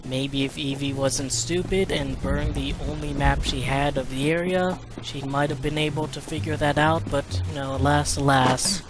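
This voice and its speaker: A man's voice: on the high side, steady tone, moderate pace, hardly any pauses, noisy background. A man giving casual, wry commentary as host of a gaming video. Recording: game music behind voice. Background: video game music and sounds.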